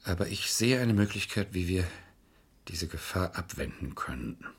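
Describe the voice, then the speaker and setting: An actor playing an insistent, calculating lawyer in a vintage crime radio play, speaking formally and pressing his points in a measured way. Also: sits low, lively intonation, breathy edge, exaggerated voice. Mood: urgent, grave.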